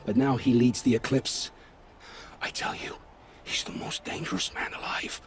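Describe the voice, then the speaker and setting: A man playing a guilt-ridden scavenger scout in a video game, speaking gravely and with haunted, poetic phrasing. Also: mid-range voice, level tone, normal speed, background noise, close to an everyday voice. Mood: dread, hushed. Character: guilt-ridden male scavenger scout, forced informant, begging for family. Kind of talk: dramatic video game acting. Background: background music.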